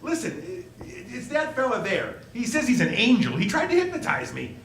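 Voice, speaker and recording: Man acting a desperate, high-voiced family man. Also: huge pitch swings, brisk, gravelly, a bit bright, heavily acted. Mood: bewildered, pleading. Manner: stammering, urgent, pleading. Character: desperate, distraught family man pleading for help. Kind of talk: live stage re-creation of 1940s radio play. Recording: roomy stage sound, low rumbling noise.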